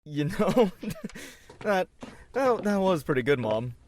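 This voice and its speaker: A man's voice: high-pitched, very animated, slow pace, noisy background, heavily acted. A man playing a sardonic, teasing twenty-two-year-old older brother in an audio drama. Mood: grudging, amused. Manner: dry, teasing.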